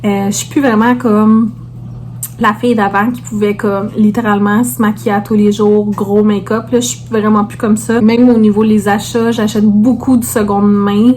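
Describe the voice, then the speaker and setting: A woman's voice: medium pitch, level tone, normal speed, a little mellow, a little hiss or hum. A woman with a Québécois French accent chatting casually to viewers as a vlogger, informally peppering her talk with English words.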